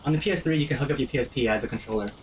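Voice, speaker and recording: A man's voice: mid-range voice, rapid-fire, a little hiss or hum. A man in casual gaming commentary, explaining things to friends in an enthusiastic, informal, slangy way. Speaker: host explaining the game to friends.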